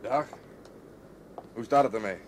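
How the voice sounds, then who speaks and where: A man's voice: lowish voice, unhurried, natural voice. An actor in a radio drama playing a dry, grumbling policeman who talks in a clipped, matter-of-fact way. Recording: old radio play, faint noise.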